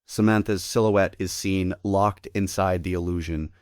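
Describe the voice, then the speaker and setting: A man's voice: sits low, fairly even intonation, normal speed, darkish tone, clear, resonant tone, dry recording, clean studio sound. A man giving scripted narration, reading out the stage directions of a play.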